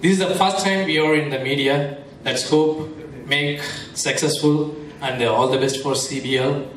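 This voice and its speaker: A man's voice: a little high, fairly even intonation, moderate pace. A man from Switzerland, representing a sponsor company, gives a short, slightly nervous speech at a launch event. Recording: echoey hall, distant mic, faint noise.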